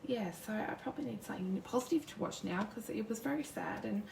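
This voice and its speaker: A woman with a croaky sore throat chatting casually and rambling as she demonstrates crafting live, greeting viewers by name. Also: mid-range voice, animated, quick pace, darkish tone, slight background noise. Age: adult with a son. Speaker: crafting demonstrator, youth worker. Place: home craft desk. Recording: roomy distant mic at a craft desk.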